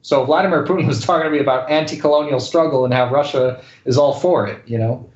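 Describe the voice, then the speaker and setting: A man's voice: mid-range voice, fast, darkish tone. A man speaking casually as an interview guest, with a hesitant delivery full of fillers. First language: English and Spanish. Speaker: guest, correspondent and news anchor. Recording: dull lo-fi roomy sound.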